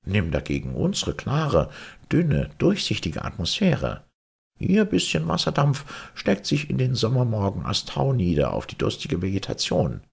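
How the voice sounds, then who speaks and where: A man acts out a wise male Martian airship pilot from a storybook. He speaks formally and eloquently, lifted well above the narration, with sweeping intonation and a husky edge. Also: on the low side, huge pitch swings, moderate pace, voice pushed up, exaggerated voice. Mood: admiring, instructive.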